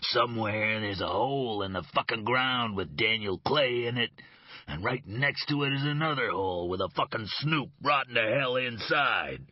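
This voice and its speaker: A man's voice: mid-range voice, normal speed, no room sound, voice pushed up, close to an everyday voice. A man voicing a belligerent, menacing middle-aged thug who snarls, curses and mocks. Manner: snarling, cursing, mocking laughs. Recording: dull, dry lo-fi audio.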